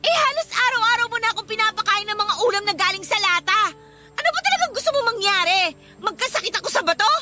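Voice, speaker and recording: Irritable live-in girlfriend, Filipino accent, piercingly high pitch, sharp-tongued, radio drama delivery, clear music bed. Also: lively intonation, fast talker. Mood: angry, exasperated. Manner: snappish, scolding, exasperated. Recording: music behind the voice.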